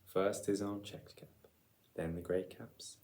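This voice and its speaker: Male storyteller, picture-book read-aloud, unhurried pace, soft dark tone, dramatic, deliberate. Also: low-pitched, fairly even intonation. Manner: deliberate, dramatic, with repeated phrases.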